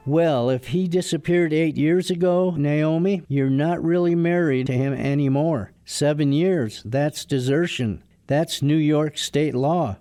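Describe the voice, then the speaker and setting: Actor as a higher-pitched, wry young man. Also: unhurried, few pauses, dark tone, no room sound, soft background music, lightly acted. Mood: earnest, hesitant. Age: young adult. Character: decent, gloomy young man under his father's thumb. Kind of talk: radio drama acting and narration.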